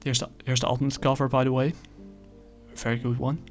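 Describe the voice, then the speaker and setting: Halting man reviewing comics, flat delivery. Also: mid-range voice, soft background music. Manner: halting, many fillers and restarts. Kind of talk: casual spoken review.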